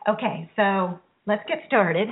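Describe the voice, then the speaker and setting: A woman with a hoarse, raspy voice hosting a show and calmly leading a guided healing meditation, pausing and restarting her sentences as she goes. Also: medium pitch. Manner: calm, instructive, unhurried, with restarts. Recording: tinny phone-like line.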